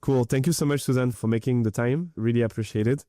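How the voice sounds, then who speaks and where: A man's voice: mid-range voice, quick pace, over loud music. A man hosting a podcast interview, friendly and appreciative as he asks open questions. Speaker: podcast host.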